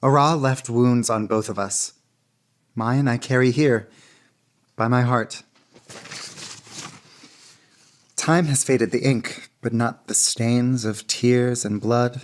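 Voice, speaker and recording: A devoted noblewoman in a period radio drama. Her voice is strikingly deep for a woman. She speaks formally and earnestly, carrying long grief and love. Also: fairly even intonation, takes it slowly, darkish tone. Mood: wistful. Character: grieving, devoted noblewoman, long-time beloved of a poet. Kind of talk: radio theater acting.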